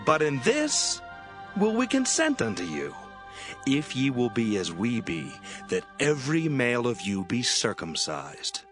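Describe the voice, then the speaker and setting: An actor playing a stern, scheming son of a patriarch in a dramatised audio Bible, firm and declamatory. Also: male voice, mid-range voice, huge pitch swings, unhurried, over music. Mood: stern bargaining.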